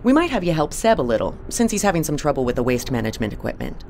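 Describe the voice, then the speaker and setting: A woman playing a composed, authoritative spaceship captain in an audio drama, measured and businesslike. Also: low voice, very animated, gravelly, over loud music, full character voice. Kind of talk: naturalistic audio drama acting.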